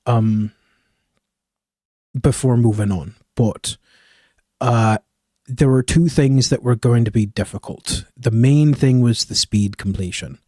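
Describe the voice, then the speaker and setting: A man's voice: medium pitch, slow pace, long pauses, warm tone, no room sound, studio quality. Man chatting in a put-on Scottish accent. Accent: put-on Scottish, a mix of Glaswegian and Edinburgh. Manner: rambling, with many fillers and self-corrections. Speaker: live streamer. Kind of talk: casual chat with stream viewers.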